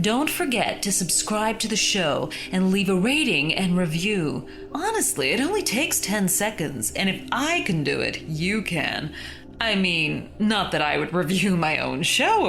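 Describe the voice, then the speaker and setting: Woman playing a slow, animated, feisty horror-show hostess. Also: mid-range voice, gravelly, exaggerated voice. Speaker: show host. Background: music.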